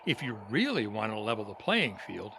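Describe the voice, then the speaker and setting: A man's voice: fairly low pitch, sing-song, moderate pace, vocal fry, sharp tone, a little hiss or hum, full character voice. A man playing an earnest, defiant, somewhat preachy family friend in an audio theater comedy.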